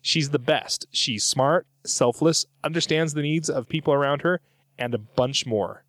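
A man's voice: on the high side, measured pace, a bit bright, no room sound, clean studio sound. A middle-aged man hosting a podcast, chatting casually and reading out listener comments in a rambling, self-correcting way. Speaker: podcast host.

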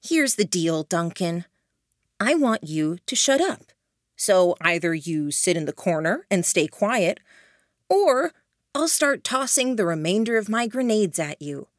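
A woman voicing a cocky, scheming young man who taunts his rivals with a mocking, drawn-out delivery. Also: medium pitch, very animated, no room sound, studio quality. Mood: taunting. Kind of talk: audiobook character voice.

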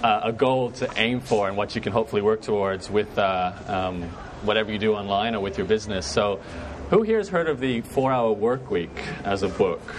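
A man's voice: on the low side, a little fast, hardly any pauses, slight background noise. A man in his thirties from Brisbane with a North American-sounding accent from his Canadian parents, giving a live talk to an event audience on a clip-on mic, chatty, asking the room questions. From Brisbane, Australia. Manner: chatty, asks the audience questions, many ums and restarts. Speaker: speaker at a networking event. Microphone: clip-on lapel mic.

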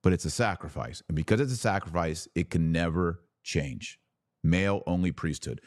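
A man's voice: deep voice, moderate pace, dry recording, clean studio sound. A man hosting an opinionated religious podcast, delivering an emphatic, preachy monologue addressed straight to his audience. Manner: emphatic, preachy, rhetorical questions and asides. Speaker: podcast host and religious commentator.

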